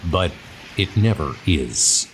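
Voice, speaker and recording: A man narrating a comic story in a slow, deliberate, portentous style. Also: deep voice, lively intonation, background noise. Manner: slow, deliberate, portentous second-person delivery. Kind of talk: dramatic scripted comic narration. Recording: music behind the voice. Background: music.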